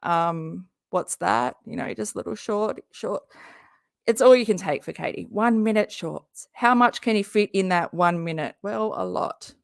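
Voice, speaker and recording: Hoarse, gravelly woman hosting a live reaction stream, jokey and chatty, dry close sound. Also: medium pitch, measured pace, no echo. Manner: chatty, jokey, talks to her viewers. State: hoarse, often losing her voice. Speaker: YouTube live-stream host and comedian.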